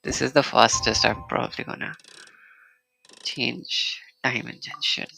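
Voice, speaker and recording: Female game streamer: very deep, gravelly, casual muttered asides, faint music underneath. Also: fairly even intonation, measured pace, sharp tone, slight background noise, rough recording. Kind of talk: casual live gameplay commentary.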